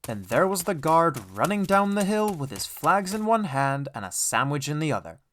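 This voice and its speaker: A man's voice: on the high side, sing-song, moderate pace, fairly bright tone. A man reading scripted children's storybook narration as an audiobook narrator.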